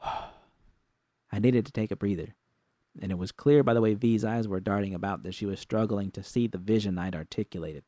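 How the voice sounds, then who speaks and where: A man reads first-person fiction in a fairly low, very mellow voice. It is an audiobook-style narration, recorded close and dry. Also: moderate pace, dry recording.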